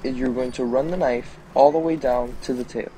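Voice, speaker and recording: A young man narrating step-by-step food preparation instructions in a measured, explanatory way. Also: medium pitch, steady tone, warm tone, slight background noise, low-quality recording. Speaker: fishing show host.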